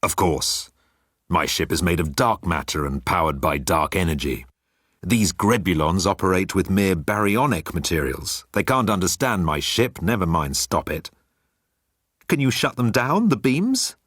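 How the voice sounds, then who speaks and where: Guy doing a snooty world-weary alien immortal, deep voice, fed up with everything. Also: lively intonation, measured pace, fairly bright tone, dry recording, clean studio sound, heavily acted. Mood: bored disdain. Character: bored, insulting immortal green alien man.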